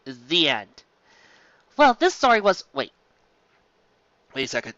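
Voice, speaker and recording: Animated, bright-voiced woman reading fan fiction. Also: deep voice, slow, dry recording, dull audio. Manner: theatrical, exclamatory. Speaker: fan fiction reviewer and reader. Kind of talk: animated story reading with comic asides.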